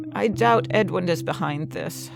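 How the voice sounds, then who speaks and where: Woman acting a stern, expressive prioress over music. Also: medium pitch, creaky, full character voice. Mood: grieving. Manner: firm, grave, forthright. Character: hard, devout middle-aged prioress, stern and weary. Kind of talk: dramatized audiobook dialogue.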